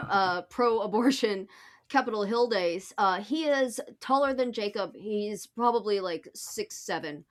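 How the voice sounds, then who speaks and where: A woman's voice: medium pitch, a little slow. A woman with an American accent in casual political podcast chat, sweary and sardonic. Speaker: podcast panelist.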